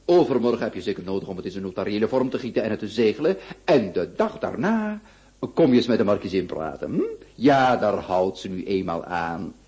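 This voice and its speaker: A jovial, domineering middle-aged marquis in a radio play. His speech swings widely in pitch as he presses on, effusive and overbearing, piling up repeated words. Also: lively intonation, a little fast, darkish tone, muffled recording. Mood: fussing, cheerful. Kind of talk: radio drama acting.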